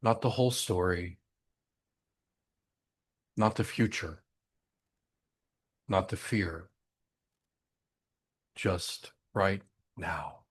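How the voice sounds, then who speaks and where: A man with a fairly low voice guides a breathing meditation. He speaks gently and without hurry, giving short instructions and letting long silences sit between them. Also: slightly rough. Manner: gentle, unhurried, with long pauses.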